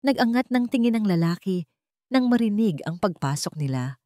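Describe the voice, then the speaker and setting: A woman's voice: mid-range voice, lively intonation, moderate pace, warm tone, no room sound, clean studio sound. A woman storyteller reading a scripted drama narration in a dramatic style.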